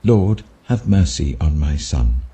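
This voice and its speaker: Man acting desperate, deep-voiced pleading father. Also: very animated, takes it slowly, dark tone, husky, exaggerated voice. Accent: British. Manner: imploring.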